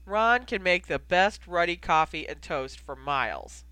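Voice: mid-range voice, animated, measured pace, sharp tone, clear, resonant tone, dry recording. A reader voicing a drunk, cheerful young man, playful and mock-serious, in a story. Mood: loud, cheerful.

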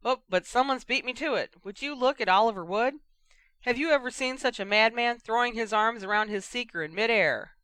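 A woman puts on a young male announcer calling a match with loud, cheeky excitement. He is raised in pitch and energetic, with a dry, close sound. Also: brisk, smooth, no room sound, natural voice. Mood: excited. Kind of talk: fan fiction audiobook reading.

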